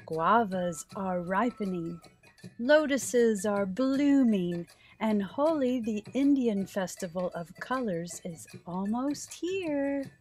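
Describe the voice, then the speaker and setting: A woman's voice: medium pitch, expressive, slow, darkish tone. A middle-aged woman hosting a children's story time, reading aloud expressively and putting simple questions to her young listeners.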